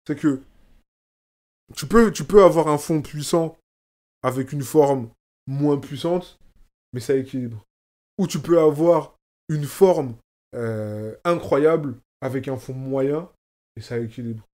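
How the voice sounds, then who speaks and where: A man's voice: medium pitch, slow pace, pauses often, darkish tone. A man from France, a rapper, talking in a casual conversation and stressing points by repeating phrases. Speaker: rapper, guest. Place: lives in Oslo.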